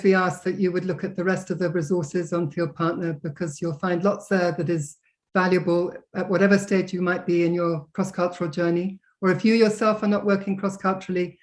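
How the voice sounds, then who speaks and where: A woman's voice: lowish voice, darkish tone. A woman hosting an interview, welcoming viewers and introducing a guest with many hesitant fillers. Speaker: interview host.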